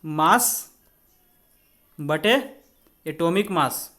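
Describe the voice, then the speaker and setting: High-pitched Indian man teaching. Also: drawn-out words, pushed and bright. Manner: conversational, checks in with rhetorical questions. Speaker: exam coaching teacher. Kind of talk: spoken maths and chemistry tutorial.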